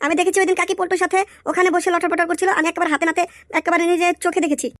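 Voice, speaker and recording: A performer voicing an aggressive young village lad, blunt and forceful, in an exaggerated comic Bengali drama style. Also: extremely high pitch, little pitch movement, rapid-fire, no room sound, clean studio sound. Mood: earnest.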